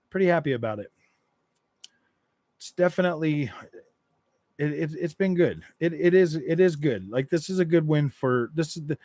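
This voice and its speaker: A man's voice: a little high, darkish tone, dry recording. A man chatting casually on a gaming live stream, answering his chat in a loose, rambling way that circles back and repeats phrases.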